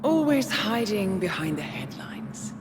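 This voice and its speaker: Slow, echoing, taunting phantom woman. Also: mid-range voice, very animated, over music. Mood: mocking menace. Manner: mocking, theatrical. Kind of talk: dramatic video game cutscene acting. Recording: long hall reverb, noisy ambience.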